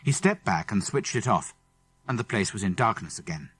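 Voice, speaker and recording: A man's voice: fairly low pitch, animated, normal speed, a bit bright, husky. A man with a British English accent narrating a children's adventure story dramatically. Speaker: audiobook narrator. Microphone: close studio microphone.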